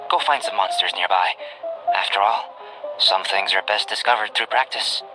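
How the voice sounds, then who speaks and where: A man playing a calm, cerebral young male alchemist in a video game, with measured, formal, articulate delivery. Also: low-pitched, natural voice, poor audio. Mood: calm, composed. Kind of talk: anime-style video game voice acting. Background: game music.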